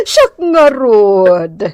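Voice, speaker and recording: A woman's voice: very high voice, a little mellow, a little hiss or hum, heavily acted. A woman acting a haughty, snappish middle-aged lady in a comedic radio drama, with an Ilocano accent and a theatrical manner. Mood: gushing, tearful. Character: haughty, snappish older woman returned from abroad.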